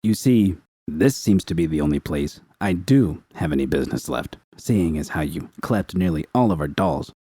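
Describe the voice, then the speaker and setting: Man putting on a deep, smug gang boss. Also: sing-song, warm tone, slightly rough, no room sound, clean studio sound, full character voice. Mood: menacing, smug. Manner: slow, smug, threatening. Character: bloated menacing male gang boss, smug.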